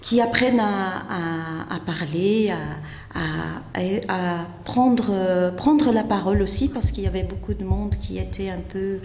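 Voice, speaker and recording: A middle-aged woman from Belgium being interviewed, telling her story in a hesitant, measured way with frequent pauses. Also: mid-range voice, darkish tone. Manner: hesitant, measured, pausing often. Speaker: interview guest, community association member. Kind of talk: unscripted personal account. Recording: echoey hall, faint background noise.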